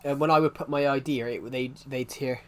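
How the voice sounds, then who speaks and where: A man's voice: medium pitch, steady tone, fairly quick, fairly bright tone. A young man from the United Kingdom with a thick regional British accent, chatting casually on a live stream, rambling and restarting his sentences. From United Kingdom, outside London. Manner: rambling, repeats himself, many restarts. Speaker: game streamer.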